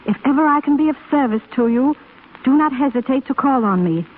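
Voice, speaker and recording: An actress playing a gracious, emotional widow in a 1930s radio drama. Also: female voice, sits high, expressive, a little fast. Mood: puzzled, earnest. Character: grieving, genteel widow, emotional but gracious. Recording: scratchy, tinny 1930s radio broadcast recording.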